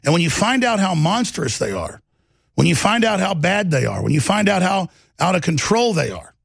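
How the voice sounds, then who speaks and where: A man with a gravelly voice and a Texas twang, delivering impassioned political commentary in a confident, aggressive, emphatic way, piling up lists and rhetorical questions like a talk show host. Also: sits high, very animated, normal speed, no echo, studio quality. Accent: Texan.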